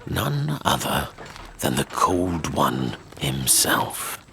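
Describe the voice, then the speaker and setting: A man playing a measured, knowing storyteller of dark fantasy lore, speaking with deliberate dramatic emphasis. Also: a bass voice, big pitch swings, gravelly, a little hiss or hum, exaggerated voice. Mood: grave storytelling.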